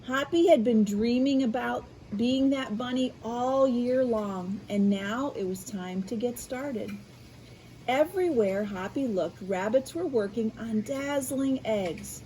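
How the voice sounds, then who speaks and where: An American woman reading a picture book aloud to children in an expressive way, adding explanatory asides. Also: fairly high pitch, slight background noise, poor audio. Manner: animated, unhurried, addressing children. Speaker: school media specialist reading aloud.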